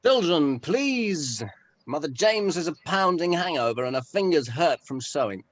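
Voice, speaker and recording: A man's voice: on the high side, big pitch swings, a little slow. A man playing a wry, needling band member in a script read-through, with a dry, teasing manner. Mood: wry, mothering.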